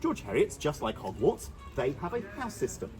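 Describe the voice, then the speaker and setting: A man's voice: on the high side, huge pitch swings, normal speed, music in the background. A man working as a tour guide outdoors, leading the group in a playful, theatrical way. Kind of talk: guided walking tour patter. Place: outdoors in a foggy graveyard.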